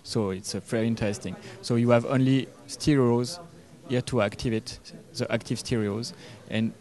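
A man, giving a product demo interview in halting English. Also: mid-range voice, fairly even intonation, darkish tone. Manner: halting non-native English. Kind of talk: trade show interview. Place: trade show booth indoors. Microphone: handheld interview microphone.

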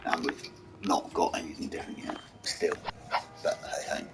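Croaky dude, deep low voice, mumbly gaming commentary, music in the background. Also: male voice, steady tone, moderate pace. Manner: mumbling, talks to the game's vehicles. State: hoarse, croaky voice. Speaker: gaming channel host. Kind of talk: casual gameplay commentary. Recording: game music behind voice.

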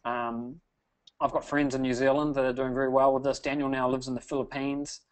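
A man's voice: medium pitch, flat delivery, fairly quick, clean tone, rough recording. A man hosting a sales webinar, talking in an upbeat salesy way and often tagging "okay?". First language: English. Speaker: webinar host.

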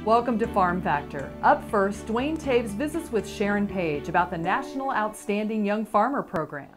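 A female show host giving a scripted introduction in a bright announcer delivery. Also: medium pitch, lively intonation, moderate pace, over music.

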